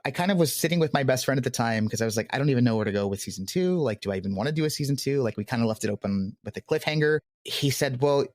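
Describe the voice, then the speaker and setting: A man's voice: medium pitch, lively intonation, rapid-fire, few pauses, a little mellow, no echo. Guy being interviewed on a podcast, rambly and self-deprecating, casual, dry audio. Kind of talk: casual conversational interview answers.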